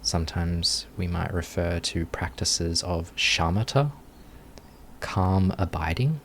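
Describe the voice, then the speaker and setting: A man with a low voice guides a meditation very slowly. He gives gentle instructions in short phrases, leaving long pauses between them. Ambient background noise is audible behind him. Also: expressive, gravelly. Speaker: meditation teacher.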